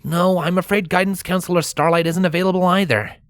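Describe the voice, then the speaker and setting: A woman's voice: lowish voice, steady tone, a little fast, no room sound, clean studio sound, pitched above the performer's own voice, lightly acted. A woman voicing a young male dragon, a put-upon helper who talks in a casual, snarky way. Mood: wry, friendly.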